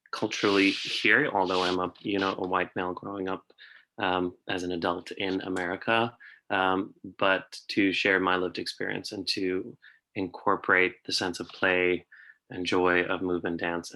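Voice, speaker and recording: A young man from South Africa speaking on a panel over a video call, thoughtful and filling pauses with ums. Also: low-pitched, pushed and bright, low-quality recording. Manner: thoughtful, frequent ums and uhs. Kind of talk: conversational panel sharing.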